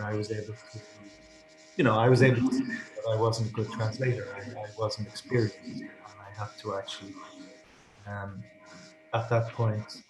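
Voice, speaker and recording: A man, a translator and writer, answering interview questions in a thoughtful, halting way, often repeating words as he searches for them. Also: fairly low pitch. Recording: echoey room.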